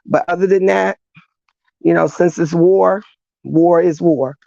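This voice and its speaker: A woman guest recounting history in an unhurried, conversational manner, with a gravelly edge to her voice. The audio is dull and lo-fi, and there is no room echo. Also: lowish voice, steady tone, warm tone. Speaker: guest speaker.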